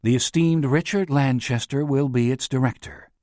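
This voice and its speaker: A male audiobook narrator voicing a smooth-talking tycoon who delivers a grand speech in a velvety, oratorical manner. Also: medium pitch, moderate pace, darkish tone, no room sound, clean studio sound, close to an everyday voice.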